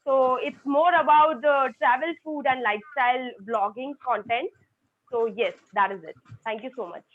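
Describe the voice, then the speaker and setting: A woman's voice: sits high, moderate pace. A woman from India introducing herself as a freelance journalist, eager and repeating herself. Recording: tinny phone-like call audio.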